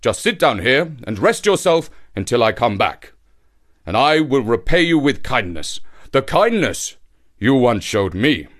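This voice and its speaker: A man voicing a friendly, helpful ape in a folktale, with a hearty, loud delivery. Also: mid-range voice, big pitch swings, measured pace.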